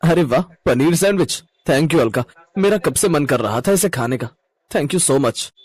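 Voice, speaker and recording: A man voicing a pleased, affectionate young husband with a husky voice in a story. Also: fairly high pitch, animated, unhurried, no echo. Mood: delighted.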